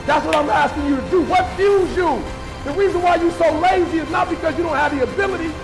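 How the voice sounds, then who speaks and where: An impassioned man exhorts his listeners over clear background music. His pitch is extremely high for a man, and his motivational delivery is emphatic and direct. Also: quick pace, low-quality recording. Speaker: motivational speaker. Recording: speech clip with music over it.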